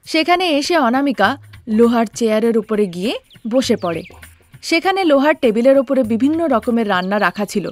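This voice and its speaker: A woman's voice: fairly high pitch, lively intonation, normal speed, darkish tone, soft background music. A woman narrator telling a cartoon story in a scripted storytelling style.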